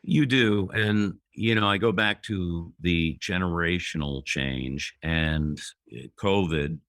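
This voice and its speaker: A man in his fifties, a guest entrepreneur on an interview podcast, speaking in a measured way with pauses. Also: deep voice, fairly bright tone, no room sound, studio quality. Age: 50s.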